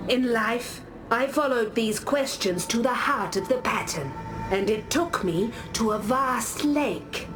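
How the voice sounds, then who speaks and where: Aloof scholarly warrior spirit, game monologue, high female voice, unhurried and deliberate, music behind. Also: animated, rough recording. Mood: earnest, pondering. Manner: measured, reflective, deliberate storytelling. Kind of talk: scripted game character monologue.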